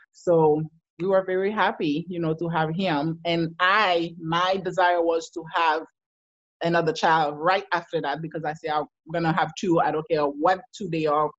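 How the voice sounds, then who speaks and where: A woman in her thirties telling her life story as an interview guest, laughing often and getting animated when she quotes herself. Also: on the low side, no room sound. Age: 30s. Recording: dull lo-fi sound.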